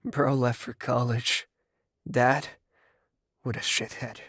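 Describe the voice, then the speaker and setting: Woman as low-voiced, lisping troubled young man. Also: expressive, slow pace, no room sound, studio quality. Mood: anguished, self-loathing. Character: troubled, tender young male rock musician. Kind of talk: amateur comic dub acting.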